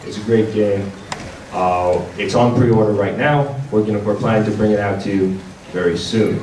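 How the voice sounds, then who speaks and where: A man giving an informal panel presentation as a game publisher, speaking haltingly with stammered repeats. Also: lowish voice, darkish tone. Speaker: visual novel publisher presenting at a convention panel. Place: large indoor convention panel room. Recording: echoey, noisy convention room from far off.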